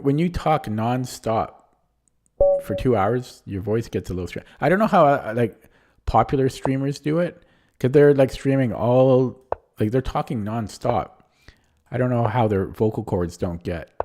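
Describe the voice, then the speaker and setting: A man with a lowish, mellow voice that is a little strained, thinking aloud while he streams chess. He talks casually and hesitantly and keeps questioning his own moves. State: voice strained from talking. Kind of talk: casual live-stream commentary.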